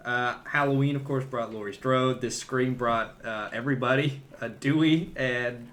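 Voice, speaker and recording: A man talking casually as a podcast host in a relaxed back-and-forth movie discussion. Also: medium pitch, moderate pace.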